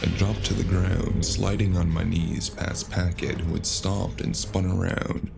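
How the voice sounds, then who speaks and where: A man with a gravelly voice narrating a scripted first-person story in a gritty, dramatic way. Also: low-pitched, level tone, unhurried. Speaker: narrator of a cyberpunk audio drama. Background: loud music.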